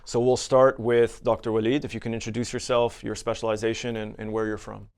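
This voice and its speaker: Smooth low-voiced male podcast host. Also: level tone, a little fast, darkish tone. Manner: polite, checks facts with guests. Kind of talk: interview.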